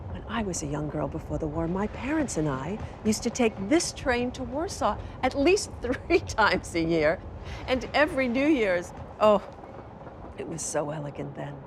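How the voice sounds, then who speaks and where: A woman's voice: mid-range voice, sing-song, a little slow, background noise. A woman playing a gushing older actress. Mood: nostalgic. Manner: theatrical, gushing, laughing. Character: theatrical former actress, older, nostalgic and gushing. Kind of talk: period film drama.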